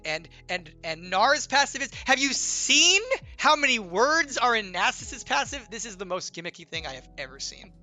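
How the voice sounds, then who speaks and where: A man's voice: high-pitched, sharp tone. A young man who designs games, chatting casually to stream viewers, his voice slightly strained from illness and his talk rambling with lots of "like" and "right?". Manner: rambling explainer, heavy on "like" and "right?", playful teasing. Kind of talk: casual livestream Q&A.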